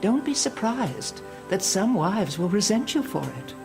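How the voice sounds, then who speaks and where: An actress playing a stern, pious older matron in an audio drama, preaching in a measured, sanctimonious way. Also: female voice, medium pitch, sing-song, over music. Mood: solemn warning. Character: stern, pious older matron instructing young women in a theocracy.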